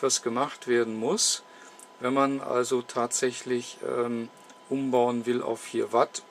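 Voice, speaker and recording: A man talks through a technical demonstration in a mid-pitched voice. He speaks slowly and methodically, often pausing to find the next word. Some faint noise sits behind him, picked up at an indoor workbench. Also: vocal fry. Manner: halting, methodical, with frequent hesitations. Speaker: host demonstrating electronics repair. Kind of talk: unscripted technical explanation.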